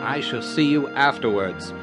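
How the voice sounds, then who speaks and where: An actor playing a wheedling, folksy circus candy seller in an audio drama, with a self-justifying, rustic way of talking. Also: male voice, mid-range voice, unhurried, music in the background, natural voice. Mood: brisk, friendly.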